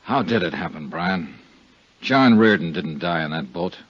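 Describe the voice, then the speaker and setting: A world-weary American insurance investigator in a 1950s radio drama, clipped and shrewd. His voice is low and slightly rough, with lively swings in pitch. The old recording is muffled. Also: unhurried, no room sound. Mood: stern, weary. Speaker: lead actor and narrator.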